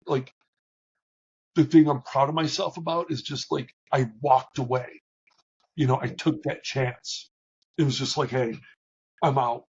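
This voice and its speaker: A middle-aged man with a big, booming voice that comes through husky and a little dull. He talks candidly and haltingly as an interview guest, restarting his sentences often. His pitch sits at an ordinary level for a man. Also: darkish tone. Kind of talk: reflective conversational interview.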